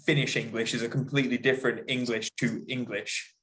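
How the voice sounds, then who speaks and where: Young British man, flat chatty delivery. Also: medium pitch, brisk, rough recording. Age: young adult. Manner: casual and chatty, many "like"s. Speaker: panelist. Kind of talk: casual panel discussion.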